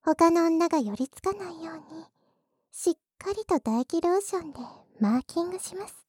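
A woman playing a coy, secretly lewd maid in an intimate adult ASMR roleplay, teasing her master in a breathy voice that often drops to a whisper. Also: very high-pitched, lively intonation, normal speed, a little mellow. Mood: teasing, possessive.

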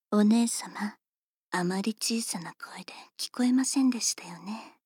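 A woman voicing a polite, teasing female servant who attends a young lady, speaking in formal, unhurried whispers for an ASMR roleplay. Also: medium pitch, darkish tone, breathy edge, no echo, clean studio sound.

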